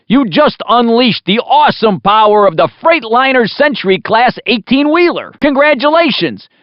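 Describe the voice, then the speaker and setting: A man's voice: extremely high pitch, dry recording. A man voicing a brash, wisecracking American race announcer in a video game, with a folksy, punchy showman delivery. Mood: excited congratulating. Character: brash, wisecracking, excitable male city radio race announcer. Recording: slightly dull lo-fi game audio.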